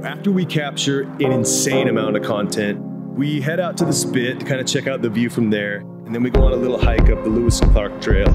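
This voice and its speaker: A man's voice: mid-range voice, fairly quick. A man in his thirties, a photography vlogger, narrating his trip in a casual, enthusiastic, slangy way. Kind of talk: upbeat travel vlog narration. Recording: narration under loud music. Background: loud music.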